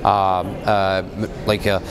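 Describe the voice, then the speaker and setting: A man's voice: deep voice, little pitch movement, slow pace, crowd noise. A young man from Lebanon giving interview answers at a busy event, rambling with many fillers. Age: young adult. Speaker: Fulbright student.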